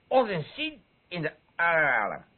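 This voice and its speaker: A man's voice: on the high side, wildly swooping pitch, slow pace, creaky, heavily acted. A man playing a drunken, threatening bully with slurred, rambling speech in a radio play. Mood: boozy, jovial. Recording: muffled, phone-like old radio recording.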